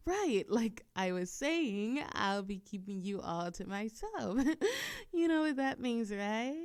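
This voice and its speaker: Actress as obsessive female yandere kidnapper for ASMR roleplay: highish, slow, doting, awkwardly rambling. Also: gravelly, smooth, heavily acted. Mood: smitten, giggly. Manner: giggly, halting, rambling, cooing reassurance. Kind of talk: ASMR roleplay audio drama.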